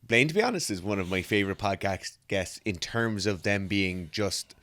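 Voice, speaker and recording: A man co-hosting a strength sports show, giving measured, analytical breakdowns of lifting technique in a casual way. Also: fairly low pitch, expressive, fairly bright tone, no echo, studio quality. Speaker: co-host.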